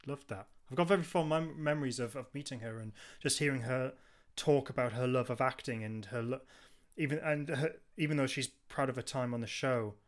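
A man's voice: medium pitch, a little fast, hardly any pauses. A man co-hosting a podcast, chatting casually and enthusiastically, often repeating words as he goes. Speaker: podcast co-host. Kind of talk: casual review chat.

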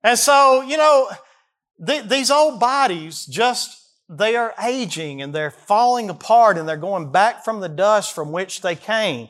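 High-pitched Southern man preaching. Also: expressive, measured pace, fairly bright tone. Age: early 40s. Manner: folksy, emphatic, rhetorical questions to listeners. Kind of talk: expository sermon, reading verses and explaining them.

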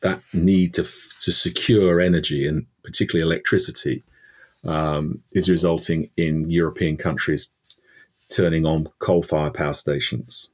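A man's voice: extremely low pitch, flat delivery, normal speed. A man guest from the UK answering an interview question at length, thoughtful, with many fillers and restarts. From United Kingdom. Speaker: energy expert guest.

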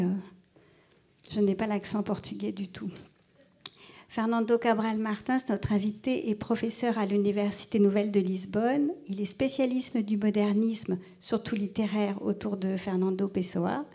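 A woman's voice: medium pitch, mellow. A woman hosting a lecture event, giving a polite, slightly hesitant formal introduction of the guest. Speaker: event host.

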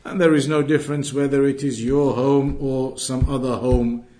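A man teaching an Islamic lesson, explaining in a deliberate way and repeating his points. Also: mid-range voice, flat delivery, dark tone. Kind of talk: religious lesson, reading Arabic then explaining.

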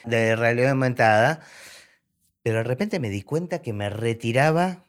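Curious middle-aged Argentine man interviewing. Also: mid-range voice. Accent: Argentine Spanish. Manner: curious, inquisitive, quick follow-up questions. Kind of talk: casual interview.

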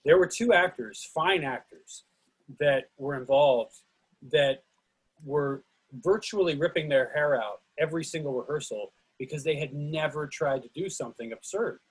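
A man hosting a discussion on a video call, excitable and lively, often restarting his sentences. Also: fairly high pitch, normal speed, breathy edge, poor audio. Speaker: host of a play reading discussion. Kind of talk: casual group discussion.

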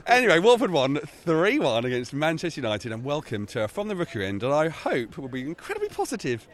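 A man hosting a casual football podcast outdoors, jokey and halting with fillers. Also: fairly high pitch, huge pitch swings. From England. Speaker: podcast host. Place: outdoors near a stadium.

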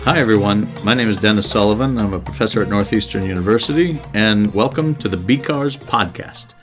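A man's voice: lowish voice, brisk, over music. A man hosting a podcast as a professor, chatting in a friendly way and listing places and names one by one. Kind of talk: casual podcast chat.

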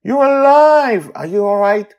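A man's voice: high-pitched, expressive, a little slow, darkish tone, dull audio, voice pushed up. A man voicing a blind young mother, loving and anxious, asking eager questions in a raised put-on voice. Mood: relieved.

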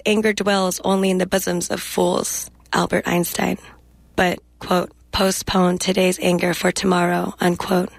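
Low, flat-toned young woman poet. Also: gravelly, no room sound. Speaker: guest poet. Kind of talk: interview and poetry reading.

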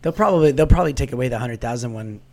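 Man, raspy, hoarse from a cold, rambling casual talk, mid pitch. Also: very fast. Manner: relaxed, rambling, self-mocking humor. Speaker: guest, vlogger and musician. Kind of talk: casual interview chat. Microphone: remote call.

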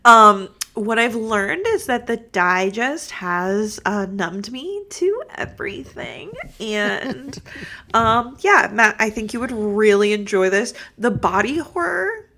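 A woman's voice: fairly high pitch, very animated, slow pace, sharp tone. A woman co-hosting a casual podcast chat, telling stories animatedly and acting out quoted dialogue. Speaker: podcast co-host.

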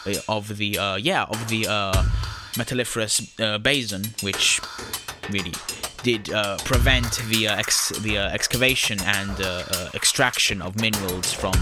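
A man playing an earnest guide to old mine engineering, explaining technicalities haltingly with many hesitations. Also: fairly low pitch, close to an everyday voice. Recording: music behind the voice. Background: music.

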